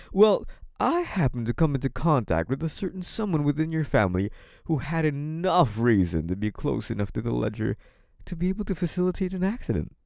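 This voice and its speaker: A man's voice: fairly high pitch, creaky, a little mellow, dry recording, studio quality, exaggerated voice. A man playing a smug, menacing, possessive young mafia boss in an intimate audio roleplay, taunting in a theatrical, mock-sweet way. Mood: gloating. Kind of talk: scripted audio roleplay, intimate monologue to one listener.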